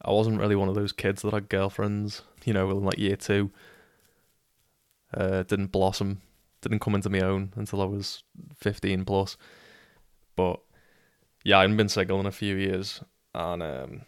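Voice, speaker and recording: Scouse podcast host in his twenties, deadpan monotone, crisp dry close sound. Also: low voice, a little slow, no room sound. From Liverpool, England. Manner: monotone, sweary, trips over words. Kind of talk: casual solo podcast storytelling.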